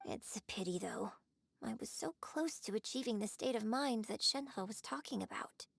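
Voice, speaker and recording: Poised, high-voiced young opera performer. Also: female voice, expressive, vocal fry, no room sound. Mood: reflective. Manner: formal, measured, reflective. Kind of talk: video game voice acting. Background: game music.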